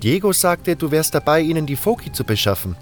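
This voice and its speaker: Man acting a young male mage, modest, friendly, raised pitch, fantasy audiobook, music underneath. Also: very animated, fairly quick. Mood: reassuring. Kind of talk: audiobook character dialogue.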